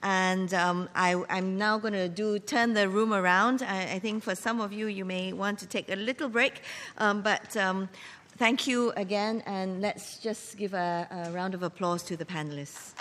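A woman's voice: mid-range voice, steady tone, normal speed, a bit bright. Female panel moderator, courteous, hesitant, reverberant conference hall. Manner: courteous, hesitant, many fillers.

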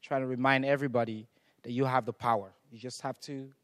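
A man's voice: mid-range voice, steady tone, fast talker, fairly bright tone, no room sound. A man from Sierra Leone moderating a youth forum, rousing the crowd and calling out questions to the room. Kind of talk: energetic audience moderation.

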